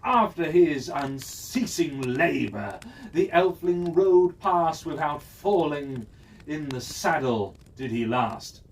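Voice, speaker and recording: Children's storyteller, rhyming verse, sing-song cadence, very slow, faint background hiss. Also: mid-range voice, lively intonation. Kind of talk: rhyming fairy-tale narration for children. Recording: distant mic, faint hiss.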